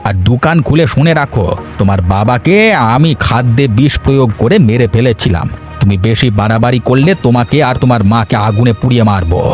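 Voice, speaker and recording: Man acting a quick-talking spiteful village henchman. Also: medium pitch, a little mellow, natural voice. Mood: threatening. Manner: sneering, aggressive. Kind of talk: Bengali cartoon voice acting. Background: music.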